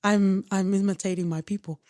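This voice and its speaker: A woman's voice: medium pitch, fairly even intonation, fairly quick, a little mellow, dry recording, studio quality. A woman co-hosting a podcast, talking earnestly and openly about her feelings with some wry humor. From Australia. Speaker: podcast co-host. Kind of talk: reflective casual chat.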